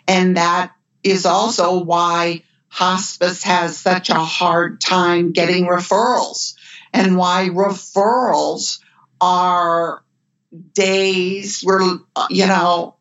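An older woman who works as an end-of-life care nurse, speaking in an earnest, measured way. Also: fairly low pitch. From United States. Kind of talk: podcast conversation. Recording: dull lo-fi remote audio.

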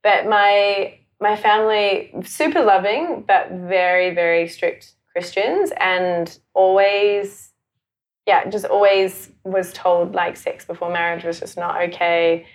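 Low, creaky-voiced woman chatting informally. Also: a little slow. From South Africa, later New Zealand. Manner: informal, animated phrasing with frequent "like". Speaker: podcast guest, sexuality and mindfulness facilitator. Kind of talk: casual conversational interview.

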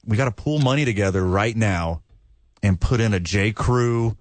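Low-voiced man in dry banter. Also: moderate pace, no echo. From Texas, USA. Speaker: podcast co-host. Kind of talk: casual sports chat.

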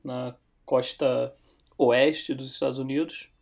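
A man with a Brazilian Portuguese accent, a software developer guesting on a podcast and chatting in a relaxed way, with many fillers and restarts. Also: mid-range voice, slow, gravelly. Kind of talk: casual chat.

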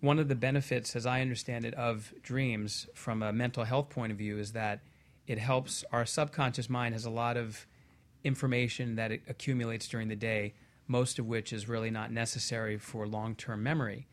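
A man speaking as a guest expert in a panel discussion, giving measured explanations with careful, halting phrasing. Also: medium pitch, steady tone.

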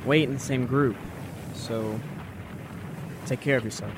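A man's voice: medium pitch, fairly even intonation, unhurried, background noise, close to an everyday voice. A man playing an earnest, scared young Marine in a radio war drama. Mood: anxious, sincere.

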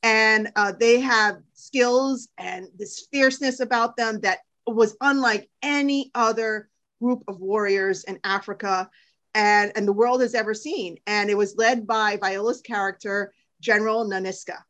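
A middle-aged woman co-hosting a podcast, chatting casually with quick enthusiasm and frequent laughter. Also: mid-range voice, steady tone, fairly bright tone. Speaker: podcast co-host. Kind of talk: casual chat.

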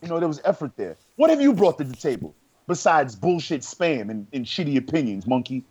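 A man's voice: a little high, very animated, fairly quick, a little mellow, husky, lo-fi sound. A man co-hosting a podcast in casual banter, boastful and full of brash trash talk. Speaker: podcast co-host.

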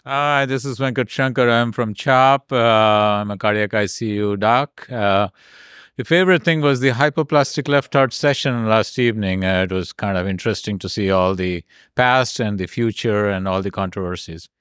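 Smooth low-voiced man interviewee. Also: moderate pace, a bit bright, dry recording, clean studio sound. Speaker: doctor being interviewed. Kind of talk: casual interview answer.

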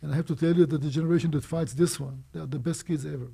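A man in his sixties, born in Romania, telling his life story as an interview guest in a brisk, animated way. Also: fairly high pitch, vocal fry, breathy edge. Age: 60s. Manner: brisk, animated, quick asides and small laughs.

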